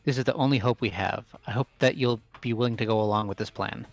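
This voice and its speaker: A man's voice: lowish voice, fast talker, gravelly, no echo, soft background music. A man playing a solemn, resolute school headmaster delivering grave lines in a video game readthrough. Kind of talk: amateur game voice acting.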